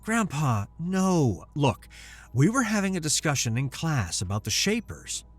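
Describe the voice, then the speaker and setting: A man voicing a sheepish young student pleading with his grandfather in a quick, flustered way. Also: a little high, very animated, husky, no echo, faint music behind, pitched above the performer's own voice, full character voice.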